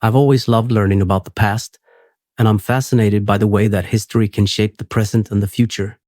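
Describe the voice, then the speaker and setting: A man reading the lines of a woman historian guest in a steady, even voice. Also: on the low side, a little fast, no room sound, clean studio sound, close to an everyday voice. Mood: earnest.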